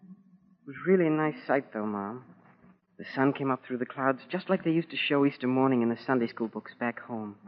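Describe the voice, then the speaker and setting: An actor playing a wistful, proud military school boy in an earnest, halting monologue to his mother, in 1940s radio drama style. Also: mid-range voice, natural voice. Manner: plain boyish colloquial talk, earnest and halting. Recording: scratchy, narrow-band 1940s radio recording.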